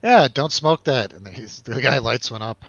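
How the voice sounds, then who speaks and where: Male show host, mid-pitched, easygoing and a little hesitant, casual review chat, dry and slightly muffled recording. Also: expressive, moderate pace, a bit bright, no echo.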